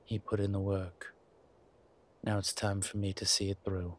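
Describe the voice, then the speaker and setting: A man playing a haughty, wry vampire gentleman in a roleplay audio drama, speaking formally and with refinement. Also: deep voice, flat delivery. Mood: wry, cautious. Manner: refined, formal, wry phrasing with hesitant pauses.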